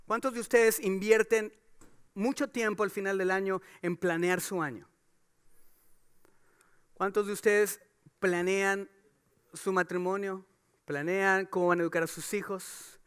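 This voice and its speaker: Male pastor, very high bright voice, smooth and clear, emphatic sermon delivery. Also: measured pace, pauses often. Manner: preaching with rhetorical questions, rising to emphatic calls.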